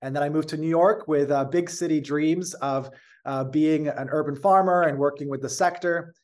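A man with an American accent, a company founder talking as an interview guest, telling his story in a measured, fluent way with the odd filler. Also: fairly high pitch, steady tone. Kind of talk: casual interview talk.

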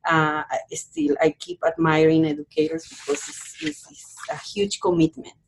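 Low-voiced Peruvian-born woman educator. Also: sing-song, gravelly, slightly rough, poor audio. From Peru. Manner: thoughtful, halting, many fillers and restarts. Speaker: university educator, guest. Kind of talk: podcast interview conversation.

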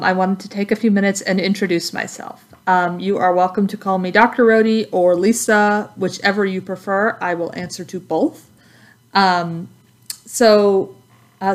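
A woman from Texas with an American accent, speaking as an instructor in a friendly, conversational introduction to students. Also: medium pitch. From Texas, United States. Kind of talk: informal course introduction to students.

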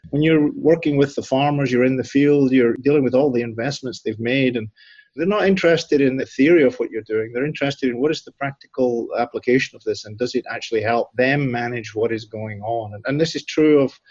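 A middle-aged man with a Scottish accent gives considered answers in an interview, often restarting his phrases as he thinks. His voice sits at an ordinary pitch. The sound is close and dry, with a slightly dull, muffled quality. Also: a little mellow, no echo. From Scotland. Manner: thoughtful, frequent restarts and repeated words. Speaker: institute director, guest.

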